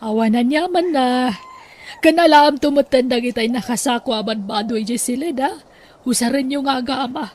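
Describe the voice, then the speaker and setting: Woman playing a high-voiced generous lady boss. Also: normal speed, a little mellow. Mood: kindly, insistent. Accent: northern Philippine. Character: generous well-off female employer, kindly and insistent. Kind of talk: radio drama acting.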